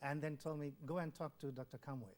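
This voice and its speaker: A man's voice: a little high, fairly even intonation, a little fast, darkish tone. An older man from Bangladesh, a former health official, earnestly telling his life story in an interview and acting out remembered dialogue. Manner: earnest, repeats words, acts out quoted dialogue. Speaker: former WHO country representative.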